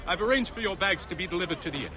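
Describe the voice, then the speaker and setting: A man's voice: high voice, lively intonation, very fast. An actor playing a young male officer in a game, eager, formal and deferential. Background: loud music.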